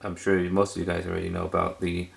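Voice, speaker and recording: A man's voice: deep voice, monotone, fast, vocal fry. A man chatting casually and hesitantly as he shows off a collection, repeating words for emphasis. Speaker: cassette collector video host. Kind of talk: casual rambling show-and-tell.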